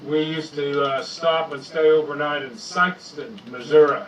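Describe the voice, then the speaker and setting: Loud-voiced man on a headset. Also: on the high side, steady tone, moderate pace, distant mic, highs cut off, slight background noise. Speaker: caller on a video chat. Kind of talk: casual chat.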